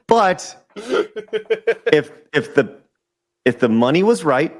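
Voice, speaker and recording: A man's voice: a little high, big pitch swings, extremely slow. A man in his forties, a podcast guest chatting casually over a call, often repeating words and restarting his sentences. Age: 40s. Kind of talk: casual chat. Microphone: remote call audio.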